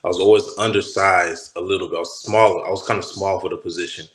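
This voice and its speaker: A man from Texas with a low voice tells stories about his football days in a relaxed, rambling way, circling back and restarting his sentences. His voice carries the echo of the room he is in. He sounds like a former player who is a guest on an interview. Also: quick pace. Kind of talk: casual interview talk.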